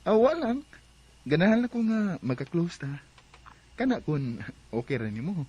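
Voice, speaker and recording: A man's voice: fairly high pitch, very animated, normal speed, darkish tone, breathy edge, muffled recording. An actor playing a shy, friendly young man in a radio drama, with a Filipino accent. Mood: bashful, hopeful. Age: young adult. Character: friendly, shy young man courting, telling his life story.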